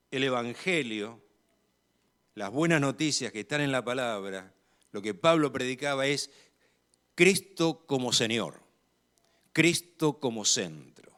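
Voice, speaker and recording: Slow-speaking Argentine preacher man. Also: mid-range voice, fairly bright tone. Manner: measured, emphatic preaching that swells in volume on key phrases. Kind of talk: sermon.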